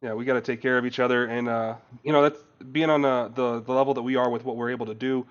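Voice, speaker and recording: A man hosting a livestream, upbeat and quick to laugh. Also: mid-range voice, little pitch movement, clean tone, dull audio. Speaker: stream host.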